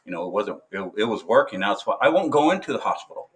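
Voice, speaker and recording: A veteran man talking to camera in a casual monologue, halting and hesitant, full of filler sounds and restarts, blunt and profane. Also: lowish voice, sing-song, fairly bright tone, rough recording. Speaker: military veteran.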